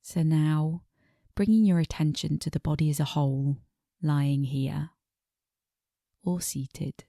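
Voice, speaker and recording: Woman, meditation teacher, creaky dark voice, soft breathy phrase endings, gentle pacing with pauses, guided body scan. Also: sits low, steady tone, no echo, clean studio sound. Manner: gentle, unhurried, pausing between short phrases.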